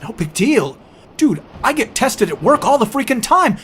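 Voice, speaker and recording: A man's voice: extremely high pitch, very animated, moderate pace, fairly bright tone, a little hiss or hum, voice pushed up, exaggerated voice. A man playing a wary, ordinary surfer who talks in hesitant bursts. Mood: indignant. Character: wary human surfer, an ordinary working guy.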